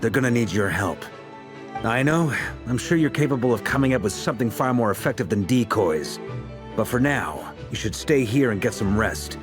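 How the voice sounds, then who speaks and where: An actor playing a seasoned, easygoing leader of fighters in a video game, casual and good-natured. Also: male voice, medium pitch, expressive, moderate pace, over music, natural voice. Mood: reassuring. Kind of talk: video game English dub acting.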